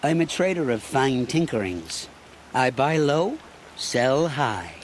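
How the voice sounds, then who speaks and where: A man's voice: mid-range voice, very animated, slow, a little hiss or hum. An actor playing a sly, ingratiating middle-aged trader in a video game, with theatrical salesman's patter. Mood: smooth, scheming. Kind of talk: video game dialogue acting.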